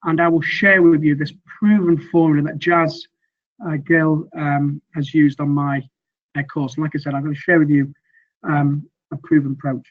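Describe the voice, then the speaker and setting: Slightly croaky middle-aged male coach. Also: fairly high pitch, little pitch movement, moderate pace, muffled recording. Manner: earnest, loose, full of fillers and restarts. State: slightly croaky from weeks of teaching. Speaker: sales communication coach. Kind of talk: presentation with slides.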